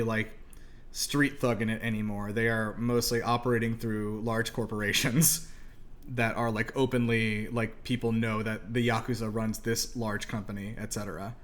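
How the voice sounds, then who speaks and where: A man hosting a movie reaction show, explaining things in a halting, informal, conversational way as he thinks aloud. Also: medium pitch, steady tone.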